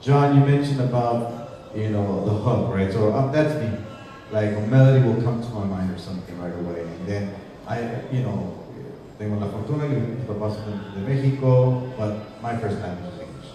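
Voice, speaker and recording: A man's voice: lowish voice, moderate pace, dark tone. A man on a workshop panel, talking casually and rambling a little, with Spanish phrases slipping in. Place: large hall before an audience. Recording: echoey hall, mic far off, noisy.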